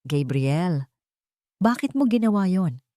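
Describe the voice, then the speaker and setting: A woman's voice: lowish voice, animated, slow pace, dark tone, no echo. A woman acts out a frightened young Filipina heroine of a romance audio drama. She sounds anguished and urgent, full of feeling. The recording is dry and close. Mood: anguished, tearful.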